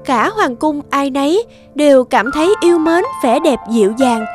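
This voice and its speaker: High-voiced woman storyteller over music. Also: animated, normal speed. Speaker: narrator. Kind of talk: scripted fairy-tale narration. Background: background music.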